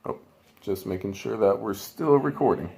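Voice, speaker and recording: A man's voice: lowish voice, sing-song, normal speed, gravelly, dark tone. A man reading a children's storybook aloud in an informal, chatty way, with casual asides. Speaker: amateur storybook reader.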